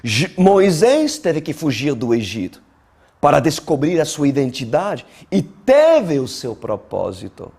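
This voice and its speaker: Slow, mellow male preacher. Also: on the high side, wildly swooping pitch, gravelly, slightly rough. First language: not Portuguese; learned Portuguese as an adult. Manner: deliberate, emphatic, with dramatic pauses and repeated phrases. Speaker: pastor preaching. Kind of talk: sermon.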